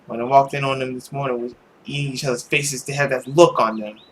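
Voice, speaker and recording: A man's voice: medium pitch, steady tone, fairly quick, a little hiss or hum, natural voice, low-quality recording. A man voicing a bitter, haughty girl in a visual novel, with a sardonic, theatrical delivery. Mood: bitter, sarcastic. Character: bitter, haughty, sarcastic girl of a genteel household.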